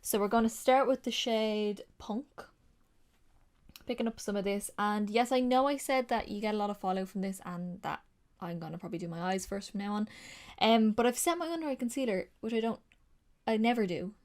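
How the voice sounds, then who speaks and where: Woman, beauty vlogger, casual and chatty, a touch nasal, mid-pitched. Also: a little fast, a little mellow. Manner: chatty, rambling, with frequent ums and likes. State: slightly nasal from a sinus infection. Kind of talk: casual makeup tutorial chat.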